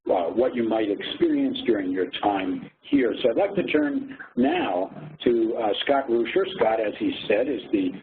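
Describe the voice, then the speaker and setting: A man giving an informational presentation as a program director, explaining in measured phrases with pauses. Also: mid-range voice, big pitch swings, creaky. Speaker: faculty director of a graduate program. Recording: phone-like lo-fi audio with faint noise.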